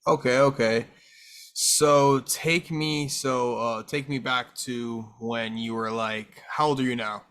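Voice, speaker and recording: A man's voice: medium pitch, steady tone, slow pace, fairly bright tone. A man hosting a casual interview, prompting his guest in loose, informal phrases. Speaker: podcast host, interviewer.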